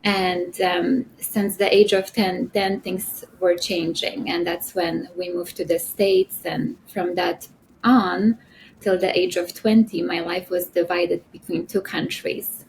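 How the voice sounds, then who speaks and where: Gravelly-voiced woman, reflective guest. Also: on the low side, flat delivery, slow pace, slight background noise, poor audio. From Poland. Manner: soft-spoken, reflective, many "you know" fillers. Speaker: podcast guest, esthetician. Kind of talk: interview conversation.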